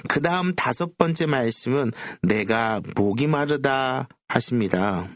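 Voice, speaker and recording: A man's voice: medium pitch, expressive, measured pace, no echo, clean studio sound. A man speaking as a pastor, explaining Bible passages in a conversational lesson. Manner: explanatory, quotes scripture. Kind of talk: Bible teaching in a conversational group discussion.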